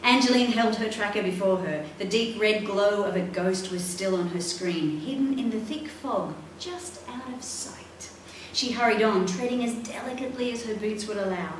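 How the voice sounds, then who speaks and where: A middle-aged woman reading a children's adventure novel aloud in an expressive, dramatic way. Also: medium pitch, normal speed. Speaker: author reading own book. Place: indoor library room, seated at a table. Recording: echoey room, distant mic, faint noise.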